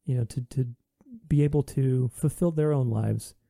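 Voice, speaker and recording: A man's voice: mid-range voice, moderate pace, creaky, warm tone, no room sound, studio quality. A man hosting a podcast, talking earnestly in a reflective solo monologue, with many restarts. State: recovering from voice loss.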